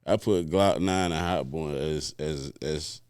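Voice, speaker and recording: A man's voice: rumbling low, a little slow, a little mellow, clean tone, dry recording, clean studio sound. A man in his forties from Orlando, chatting casually as a DJ guest and thinking aloud with restarts. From Orlando, Florida. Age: 40s. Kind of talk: casual interview chat.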